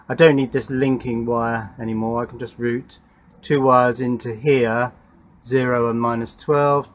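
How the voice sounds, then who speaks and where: Man thinking aloud at the workbench. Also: medium pitch. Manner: informal, thinking aloud while working. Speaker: electronics hobbyist presenting his workshop project. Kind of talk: casual hands-on workbench narration. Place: small wooden garden shed workshop.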